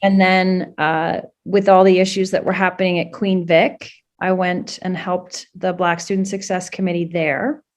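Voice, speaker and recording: A woman talks as an interview guest. Her voice sits low for a woman, and she speaks earnestly and conversationally, with plenty of fillers. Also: little pitch movement, measured pace. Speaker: political candidate guest. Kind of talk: casual interview answers.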